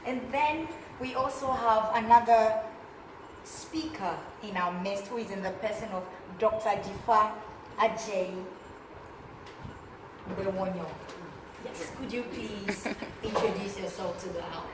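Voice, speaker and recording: A woman hosting a casual science cafe, friendly and quick to laugh. She sounds distant from the microphone in an echoey room. There is clear background noise behind her. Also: medium pitch, fairly even intonation. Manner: friendly, laughs between remarks. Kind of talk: casual event moderation. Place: room with a seated group.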